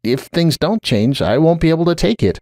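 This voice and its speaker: A man's voice: on the high side, big pitch swings, a little fast, a little mellow, no echo, clean studio sound, pitched above the performer's own voice. Man voicing an anxious, weary male unicorn wizard. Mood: anguished.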